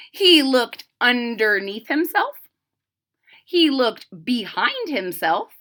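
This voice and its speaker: A woman reading a storybook aloud to young children, expressively, with direct asides to the listeners. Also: fairly high pitch. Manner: deliberate, animated, addressing children directly. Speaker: storybook reader.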